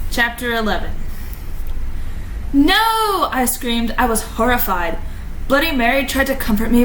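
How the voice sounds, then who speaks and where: A woman's voice: mid-range voice, a bit bright. A woman giving a dramatic, tongue-in-cheek amateur story reading, stumbling over odd wording now and then. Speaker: amateur fan fiction reader. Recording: roomy echo, noticeable background noise.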